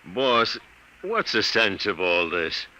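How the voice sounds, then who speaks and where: Dim, cocky New sidekick. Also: male voice, fairly low pitch, very animated, slow, sharp tone, heavily acted, rough recording. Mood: plainspoken. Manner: slangy, plainspoken. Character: dim, cocky, good-natured detective's sidekick. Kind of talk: old-time radio comedy-drama acting.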